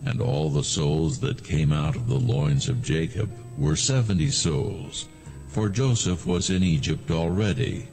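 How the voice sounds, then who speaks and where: A man giving solemn, measured scripted narration of scripture as a storyteller. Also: sits low, lively intonation, creaky, a little mellow, faint music behind.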